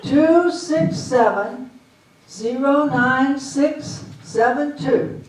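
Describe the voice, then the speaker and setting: A woman playing a capable, patient wife in a comic readers theater, deliberate and no-nonsense. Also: high voice. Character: Janet, patient, brisk, capable wife of befuddled husband. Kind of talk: readers theater comedy acting. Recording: echoey hall, far from mic.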